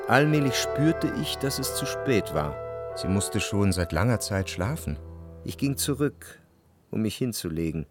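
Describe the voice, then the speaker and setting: An actor playing an anxious, jealous lover who recalls his life in measured, introspective radio drama narration. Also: lowish voice, big pitch swings, music in the background. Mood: brooding, introspective. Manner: measured, introspective, literary phrasing. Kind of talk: literary radio drama narration with quiet dialogue.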